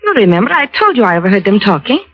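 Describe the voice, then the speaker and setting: An actress playing a smooth, coolly composed wife in an old radio melodrama, polished and measured with a coy edge. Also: female voice, mid-range voice, sing-song, close to an everyday voice. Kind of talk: 1940s-50s radio melodrama acting. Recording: dull vintage radio recording.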